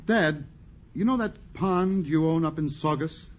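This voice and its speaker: A man's voice: on the high side, a little slow, close to an everyday voice. A man playing a cheerful, dreamy young businessman in a 1940s radio drama, with a breezy, eager delivery. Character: cheerful, dreamy young Boston businessman, about twenty-one. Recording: muffled, noisy 1940s radio recording.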